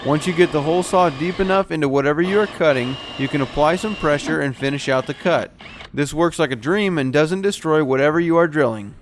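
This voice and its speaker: A man's voice: a little high, brisk, slight background noise. A man narrating a step-by-step boat repair how-to as the show's technician host. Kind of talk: explanatory how-to voice-over narration.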